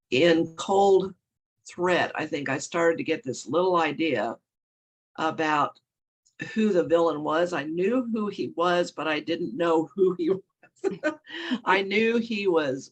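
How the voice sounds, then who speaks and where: A woman author talking casually in an interview, her voice hoarse and froggy from a cold, rambling and correcting herself as she goes. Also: low-pitched. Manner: rambling, self-correcting, chuckling often. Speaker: guest author.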